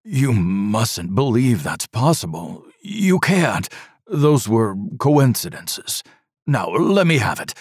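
A man's voice: low-pitched, slow pace, darkish tone, breathy edge, dry recording, clean studio sound, exaggerated voice. A man voicing an anguished middle-aged doctor who groans and pleads with his wife in an audiobook reading. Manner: strained, groaning, restraining.

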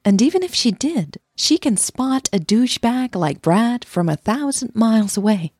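A woman acts out a younger girlfriend in a romantic audio drama, teasing and affectionate. Her voice is soft and lifted in pitch, with a husky, breathy edge. The recording is dry and close. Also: animated, moderate pace, dry recording. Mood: amused, reassuring.

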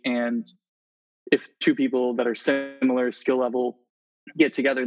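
Guy chatting earnestly as an interview guest, pretty flat delivery, kinda lo-fi sound. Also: medium pitch. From Indonesia and the Philippines. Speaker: interview guest, travel photographer. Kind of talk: casual conversational interview. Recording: slightly dull, lo-fi audio.

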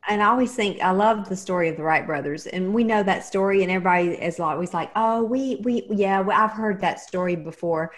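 A woman's voice: medium pitch, brisk, hardly any pauses. Woman interviewer, enthusiastic host, leans hard on key words. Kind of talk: conversational interview.

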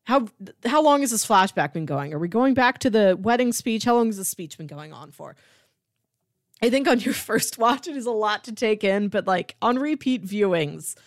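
A woman's voice: mid-range voice, animated, normal speed, no room sound. A woman talks as a podcast co-host in a dry recording with little room sound. Her delivery is casual and unscripted, and she slips into acting out the remarks she quotes. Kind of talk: casual unscripted chat about a TV show.

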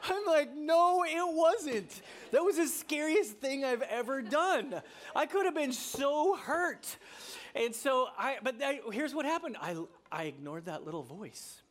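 Animated preacher man telling stories. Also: takes it slowly. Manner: animated storytelling, voice climbing high when excited; emphatic, repeating key phrases. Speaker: pastor. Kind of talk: sermon.

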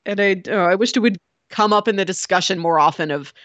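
A woman's voice: mid-range voice, fast talker, a bit bright, no echo, lo-fi sound. Woman, dry close podcast chat. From United States, Missouri. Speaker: podcast co-host. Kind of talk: casual film discussion.

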